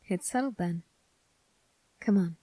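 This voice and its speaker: Woman as kind young male cadet for ASMR roleplay; relaxed dark tone, gentle and reassuring, very dry sound. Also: fairly low pitch, slow pace, no echo. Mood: kind, polite. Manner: soft, gentle, reassuring, polite. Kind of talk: ASMR character roleplay, spoken to the listener.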